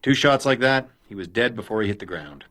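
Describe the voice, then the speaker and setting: A wry, animated detective's pal. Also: male voice, on the low side, brisk. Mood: wry, matter-of-fact. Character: plain-spoken, wry male friend of a detective. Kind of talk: radio crime drama acting.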